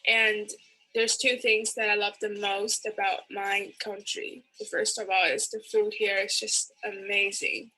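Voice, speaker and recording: A woman's voice: mid-range voice, flat delivery, unhurried, sharp tone, poor audio. A young woman student from China giving a personal testimonial to camera in a bedroom. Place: bedroom at home.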